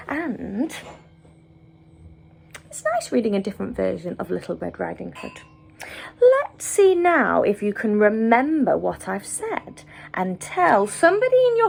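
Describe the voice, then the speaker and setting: A woman teacher reading a children's story, expressive and deliberate, as if to small listeners. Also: mid-range voice, creaky, slight background noise.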